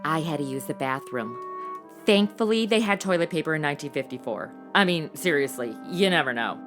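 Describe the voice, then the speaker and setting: Sassy, animated young woman, sarcastic acted role. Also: deep voice, fairly quick, music in the background. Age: young adult. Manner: sarcastic, snarky, exaggerated reactions. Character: sassy, impatient modern twenty-something woman stuck in the 1950s. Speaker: actor in a comedy audio drama. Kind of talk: comedic audio drama acting.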